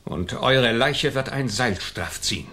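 A man's voice: mid-range voice, lively intonation, a little slow, sharp tone, full character voice. A man acting a haughty, vindictive governor in a radio play, imperious and scornful in formal speech. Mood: angry, threatening. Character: haughty, vindictive colonial governor, quick to rage.

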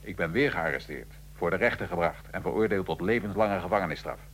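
A man acting in a radio drama as a defiant, wry nineteenth-century Italian revolutionary prisoner, telling his story gravely and with irony. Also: sits low, fairly quick, slight background noise, lightly acted. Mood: grave, wry recounting.

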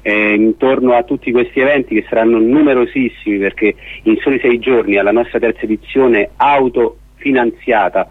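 A man from Rome, explaining an event as a radio guest in a halting, wordy way with frequent rephrasing. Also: medium pitch, fairly even intonation, husky, no echo, narrow, tinny audio. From Rome, Italy. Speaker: festival organiser, radio guest.

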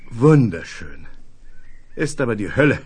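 An actor playing a gravely sick, wary spaceship crewman, speaking haltingly and wearily in a 1970s German radio play. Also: medium pitch, very animated, darkish tone. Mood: grim.

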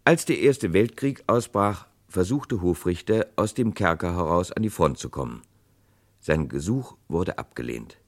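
An actor narrating a 1960s radio crime play as a grave chronicler, with dramatic, deliberate delivery. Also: male voice, low voice, animated, exaggerated voice. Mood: grave, reflective. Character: grave male chronicler of a historic murder case.

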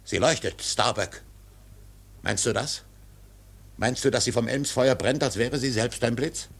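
An actor playing an obsessed, defiant old whaling captain in a radio drama, solemn and declamatory, with a gravelly, husky voice. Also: male voice, medium pitch, unhurried. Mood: intense. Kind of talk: literary radio drama monologue.

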